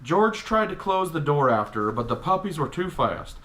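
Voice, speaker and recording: A man's voice: on the high side, very animated, moderate pace, fairly bright tone. A man putting on a cranky middle-aged Texan dad with a Texan accent, reading a picture book aloud with blunt, exasperated asides. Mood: exasperated storytelling. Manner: grumpy, exasperated, blunt, mildly profane. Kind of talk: comic character storybook reading with grumbling asides.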